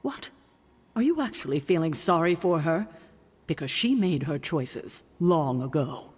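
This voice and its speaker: A woman's voice: low-pitched, animated, unhurried, darkish tone, breathy edge, in a large hall, exaggerated voice. A woman playing a stern, guarded and protective mentor in a video game, curt and assertive in her delivery. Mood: stern, indignant. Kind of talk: video game character acting.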